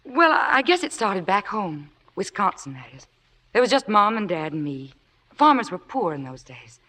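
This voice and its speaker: An actress playing a demure, earnest young theatre fan, humble and polite, in an old radio drama. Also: female voice, mid-range voice, big pitch swings, moderate pace, pushed and bright, rough recording. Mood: wistful. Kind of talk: 1940s-50s radio drama acting. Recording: old radio broadcast recording.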